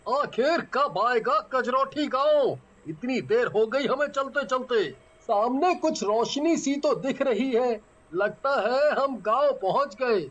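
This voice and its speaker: Casting: male performer as animated young friend in a horror cartoon, piercingly high, bright tone. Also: slow pace, lo-fi sound, heavily acted. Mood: eager. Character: excitable young male friends on a night journey. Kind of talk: cartoon story dialogue.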